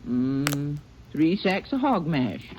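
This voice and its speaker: Helpful, self-assured country shop clerk, old-time radio. Mid pitch, very lively delivery, muffled recording. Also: female voice, takes it slowly, a little hiss or hum, exaggerated voice. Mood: helpful, certain. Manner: chatty, sure of herself. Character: brisk, helpful female country feed-store clerk. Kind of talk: 1950s radio drama acting.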